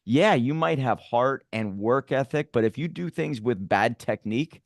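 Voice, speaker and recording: A man in his late thirties hosting a casual podcast. He talks in an animated, emphatic way and swears freely. His voice sounds dry and close, with no room echo. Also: medium pitch, moderate pace. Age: 30s. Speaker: podcast host. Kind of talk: casual interview talk.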